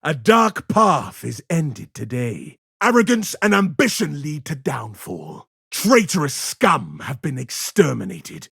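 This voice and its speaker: Video game space marine: righteous zealot, stern and pompous, husky voice with big swings in pitch. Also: male voice, sing-song, slow pace, few pauses, fairly bright tone, no echo, clean studio sound, full character voice. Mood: righteous contempt. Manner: pompous, grandiose declarations. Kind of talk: dramatic video game battle barks.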